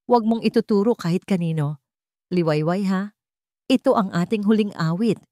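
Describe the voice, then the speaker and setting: A Filipino woman voicing a grieving, intense older rich father in a dramatic audio story, speaking deliberately and emotionally. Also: medium pitch, warm tone, no room sound, studio quality, natural voice. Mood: tender, solemn.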